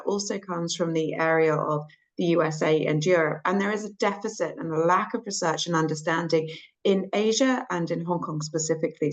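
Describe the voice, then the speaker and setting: Brief: woman, croaky voice, low-ish register, webinar research talk over a video call, measured with many ums. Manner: measured, explanatory, with frequent ums. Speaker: clinical psychologist presenting research. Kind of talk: webinar presentation.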